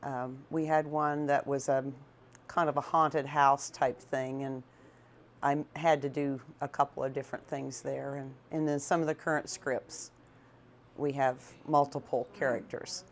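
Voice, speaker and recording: A man's voice: mid-range voice, flat delivery, a little slow, slight background noise. A man who voices several characters in a community radio theater, explaining plainly in an interview. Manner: plain, matter-of-fact explaining. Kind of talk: casual interview.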